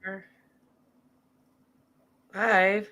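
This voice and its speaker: A woman's voice: medium pitch, flat delivery, drawn-out words, sharp tone. A woman co-hosting a livestream in casual chat, matter-of-fact as she keeps tally. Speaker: livestream co-host.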